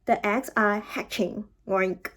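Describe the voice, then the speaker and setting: A woman voicing a calm, helpful little pig from a children's storybook, answering matter-of-factly in short lines. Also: medium pitch, takes it slowly. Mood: informative.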